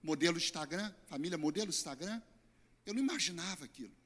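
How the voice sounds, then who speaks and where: A hoarse middle-aged man with a Brazilian Portuguese accent, preaching an earnest, emphatic sermon as a pastor. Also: fairly high pitch, animated, fast talker. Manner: earnest, emphatic preaching with sudden loud and soft swings. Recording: church hall reverb.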